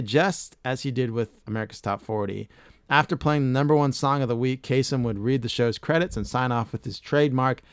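A man's voice: mid-range voice, clear, resonant tone, no echo, studio quality. A middle-aged man hosting a casual podcast chat, reading facts aloud and swearing casually. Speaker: podcast host.

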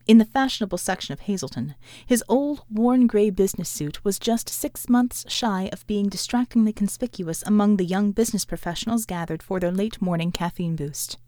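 A woman reading literary fiction as an audiobook narrator, in a measured, articulate storytelling delivery. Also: mid-range voice, dark tone, dry recording, clean studio sound.

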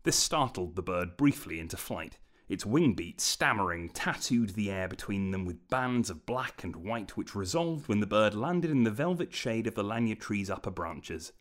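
A man's voice: on the low side, expressive, moderate pace, few pauses. A man reading scripted narration for a fantasy story.

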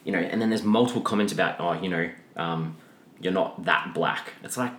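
A man's voice: brisk, fairly bright tone. A man hosting a casual film discussion, griping informally and giving his opinions in a conversational way. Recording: echoey room, noticeable hiss and rumble.

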